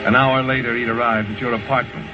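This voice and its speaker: A man acting as the grim, knowing narrator of an old radio suspense drama, addressing someone in a deliberate, dramatic way. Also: medium pitch, level tone, lightly acted. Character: male narrator grimly addressing a guilty woman. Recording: dull old radio recording, loud music behind.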